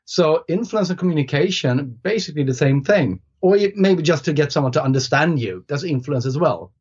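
A man's voice: on the high side, animated, brisk, a little mellow, breathy edge. Native Swedish man, podcast guest, hesitant and thoughtful delivery, slightly muffled recording. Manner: thoughtful, hesitant with many restarts and fillers. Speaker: guest author. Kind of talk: casual podcast conversation. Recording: slightly dull lo-fi sound.

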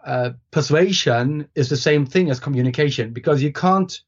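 A man's voice: on the high side, normal speed. Hesitant Swedish-speaking man, lo-fi sound. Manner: thoughtful, hesitant with many restarts and fillers. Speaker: guest author. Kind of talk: casual podcast conversation. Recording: slightly dull lo-fi sound.

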